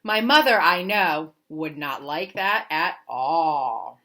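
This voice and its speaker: A woman reading a children's picture book aloud like a teacher, with expressive, deliberate, sing-song emphasis. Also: deep voice. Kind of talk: animated children's storybook read-aloud.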